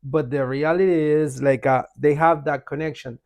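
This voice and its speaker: A man's voice: a little high, fairly even intonation, normal speed, a little mellow. A man from Argentina with an Argentine Spanish accent, talking as a podcast guest in a casual, emphatic, repetitive storytelling way. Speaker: podcast guest, author and shaman.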